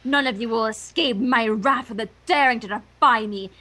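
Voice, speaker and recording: A woman's voice: a little high, normal speed, sharp tone. A woman voicing a vain, haughty, scheming female fashion pony with grandiose, declamatory delivery in a fan fiction reading. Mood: gloating.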